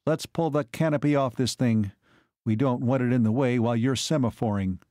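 A man voicing a steady veteran army captain in a story, level and matter-of-fact. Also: medium pitch, fairly quick, no room sound, clean studio sound, close to an everyday voice. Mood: businesslike.

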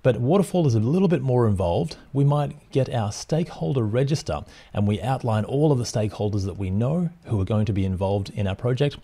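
A man's voice: medium pitch, brisk, hardly any pauses, slightly rough. A man in his thirties explaining project plans over slides, speaking steadily with occasional ums and uhs. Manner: plain, steady teaching with frequent fillers. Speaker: project management instructor.

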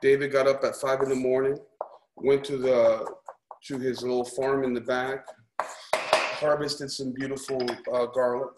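A man's voice: medium pitch, little pitch movement, measured pace. Upbeat man teaching cooking, echoey room. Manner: upbeat, encouraging, chatty with frequent check-ins like "right?" and "okay?". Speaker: chef hosting a cooking class. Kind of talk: casual hands-on cooking demonstration. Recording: echoey room, background noise.

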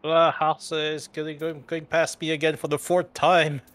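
A man's voice: fairly high pitch, completely flat delivery, a little slow, fairly bright tone. A man from France and Canada chatting dryly with friends in voice chat during a race. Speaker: player. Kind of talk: casual voice chat while gaming.

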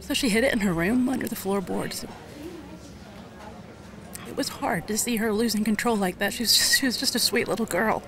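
An actress playing a gentle, remorseful woman in an audio drama, speaking in a halting, reflective way. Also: mid-range voice, noisy background, close to an everyday voice. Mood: wistful, regretful. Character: remorseful woman recalling a sick little girl, gentle. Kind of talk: audio drama acting, conversational.

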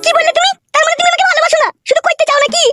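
A performer voicing a nagging, suspicious village woman in a comedy cartoon, scolding and questioning in a pitch-raised voice. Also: flat delivery, rapid-fire, loud music behind the voice. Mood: suspicious, sulky. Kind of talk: amateur comedy cartoon dialogue.

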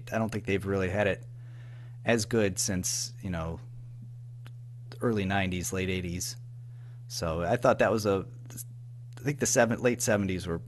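A man's voice: deep voice, slight background noise. An American man giving a casual interview as a guest, speaking in an informal, hesitant, rambling way. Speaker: interview guest, audio drama writer and producer.

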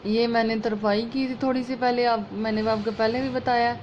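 A woman with a sore, slightly hoarse throat, chattily explaining a home sewing repair step by step. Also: fairly high pitch, steady tone, moderate pace, darkish tone. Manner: chatty, homely, explaining as she works. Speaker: sewing channel host. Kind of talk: casual step-by-step sewing tutorial. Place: at home over a work table. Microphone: phone camera over hands. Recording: muffled lo-fi phone audio, faint room noise.

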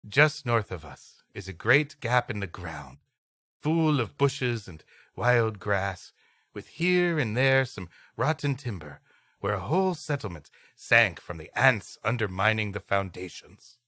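Animated man with a bright voice. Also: on the low side, unhurried, dry recording, clean studio sound. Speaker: podcast co-host. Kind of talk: reading an old adventure story aloud with comic banter.

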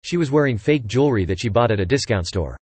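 A man reading English example sentences aloud for listening practice, in an even, clipped delivery. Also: medium pitch, quick pace, breathy edge, no room sound.

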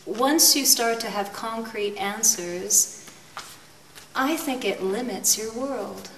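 A woman in her forties from the United States, a painter talking about her work in her studio, musing and unhurried, often laughing. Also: a little high, a bit bright, slight background noise. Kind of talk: relaxed interview talk. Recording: echoey studio, mic far off.

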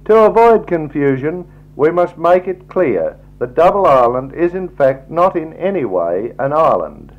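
A man's voice: on the high side, very animated, unhurried, few pauses. Australian man narrating on dull vintage tape. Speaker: slideshow narrator. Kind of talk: 1960s scripted travelogue narration. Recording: dull, lo-fi 1960s tape recording.

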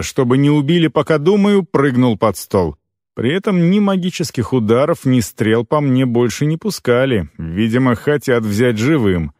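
A man narrating scripted first-person fiction as an audiobook reader, expressive, with deliberate phrase breaks. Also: medium pitch, dry recording, clean studio sound.

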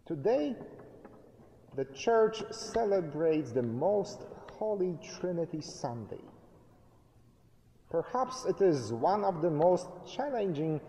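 A middle-aged man preaching a sermon from a lectern, with slow, deliberate phrasing and emphatic pauses. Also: a little high, big pitch swings, mellow. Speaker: pastor. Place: church sanctuary, indoors, at a lectern. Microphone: fixed camera at a distance. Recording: reverberant church hall.

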